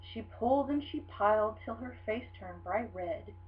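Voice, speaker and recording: A woman reading a children's picture book aloud in an expressive, dramatic storytelling style. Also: a little high, measured pace. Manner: animated, dramatic storytelling delivery. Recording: dull lo-fi sound with hum.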